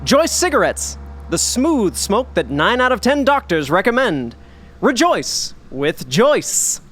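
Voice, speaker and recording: An actor playing a suave, theatrical 1940s radio announcer with a showy, dramatic delivery. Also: male voice, sits high, sing-song, slow, a bit bright, breathy edge, heavily acted. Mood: showy, welcoming. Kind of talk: 1940s radio acting, scripted narration.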